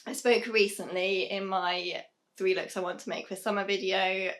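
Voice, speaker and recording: A young British woman chats to a camera set up in a small sewing room at home. Her voice is a little croaky and worn. She rambles in a friendly way, full of ums and soft laughs. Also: mid-range voice, fairly even intonation, moderate pace. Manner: chatty, many "um"s, soft laughs. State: hoarse, losing her voice. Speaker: sewing vlogger. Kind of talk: casual chat to camera.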